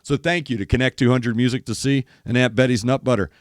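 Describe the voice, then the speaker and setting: An older man, a former rock radio DJ, hosts a music reaction show. He is losing his voice and sounds gravelly, speaks emphatically, and mimics drum hits while talking. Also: mid-range voice, fairly even intonation, fast, dry recording, studio quality. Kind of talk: casual music commentary. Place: studio in east Nashville.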